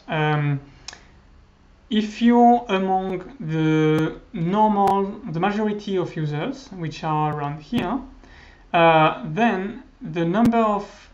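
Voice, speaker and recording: A man in his thirties whose first language is French, giving a recorded university lecture over slides, hesitant, with many fillers and restarts. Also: high-pitched. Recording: slightly dull lo-fi audio.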